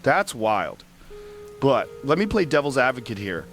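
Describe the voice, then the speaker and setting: A curious but skeptical talk show host in a radio drama. His mid-pitched voice is conversational and probing, over a music bed. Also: very animated, a little slow, a bit bright.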